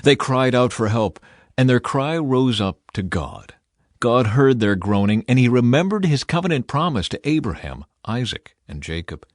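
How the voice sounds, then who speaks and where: A man giving a measured, solemn, scripted dramatic narration as an audio Bible reader. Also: medium pitch, sing-song, no room sound, studio quality. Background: faint music.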